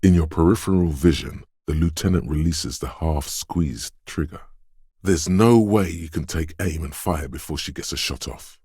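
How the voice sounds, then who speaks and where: A man voicing a detective's quick-witted inner voice in a video game, narrating in a measured, deliberate way with short, wry, alert asides. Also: extremely low pitch, dark tone, husky, no echo, clean studio sound. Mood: measured, ominous. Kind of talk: scripted game narration, second-person inner voice.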